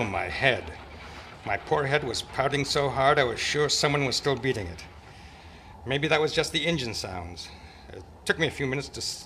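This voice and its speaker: A hardboiled radio detective, acted out by a man, with a bright, forward voice at a middle pitch. He is tough, wry and world-weary, slinging slang as he goes, over a noisy amateur live recording. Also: natural voice. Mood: groggy, narrating. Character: tough, gallant male private eye, wry and unflappable. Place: club meeting, live performance before microphone.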